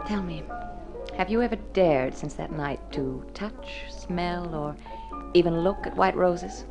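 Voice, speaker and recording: An actress in a vintage radio drama playing a worldly, flirtatious rich widow with an arch, knowing, teasing manner. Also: female voice, on the low side, measured pace, music in the background. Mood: wistful, knowing. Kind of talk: golden age radio drama acting.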